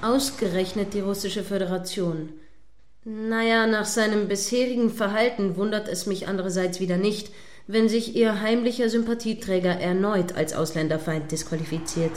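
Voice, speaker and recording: A woman's voice: mid-range voice, normal speed, a little mellow, lightly acted. A woman playing a prim, indignant news commentator in a radio play, judgmentally describing events step by step as they happen.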